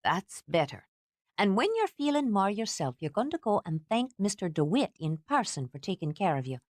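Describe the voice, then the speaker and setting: A woman's voice: mid-range voice, very animated, a little mellow, no room sound. Woman doing a stern older housekeeper. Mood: commanding. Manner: scolding, brisk, lecturing.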